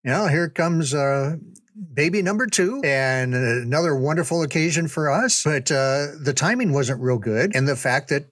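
A man's voice: on the high side, moderate pace, fairly bright tone, no echo. Older man reminiscing, dry close recording. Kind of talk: casual reminiscing.

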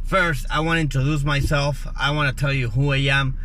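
A man's voice: medium pitch, steady tone, a little fast, sharp tone, slight background noise. A young man from Chile with a Chilean Spanish accent, a native Spanish speaker hosting his own podcast in an earnest, casual monologue full of fillers and restarts. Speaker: podcast host.

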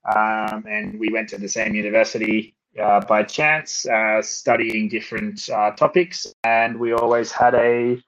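A man's voice: on the low side, flat delivery, a little slow, hardly any pauses, fairly bright tone. Aussie guy chatting as a podcast guest, lots of uhs, muffled lo-fi sound. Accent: Australian. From Australia. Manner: hesitant, many filler sounds. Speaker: guest, startup co-founder. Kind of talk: casual interview chat.

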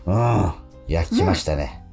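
A man's voice: low-pitched, lively intonation, measured pace, vocal fry, a little mellow, slightly rough, soft background music. A man hosting a radio show in a casual, chatty style.

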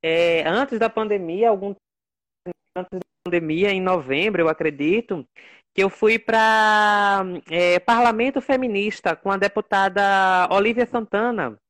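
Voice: medium pitch, unhurried, a bit bright. A guest speaking about their work in an impassioned, casual way, repeating phrases for emphasis. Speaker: guest, works at a state legislative assembly. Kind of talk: casual online conversation.

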